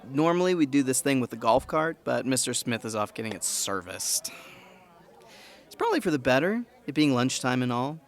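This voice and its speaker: A man's voice: mid-range voice, big pitch swings, fairly quick. A man playing a jovial, boisterous school staff member, hearty and chatty, in an audio drama. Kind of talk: scripted audio drama acting.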